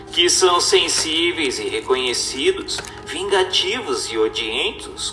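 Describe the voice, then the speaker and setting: A man giving a scripted, solemn reading in a formal, didactic and deliberate manner, as a narrator. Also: a little high, fairly bright tone. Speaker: narrator reading a spiritist text. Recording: echoey reverberant voice with music. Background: music.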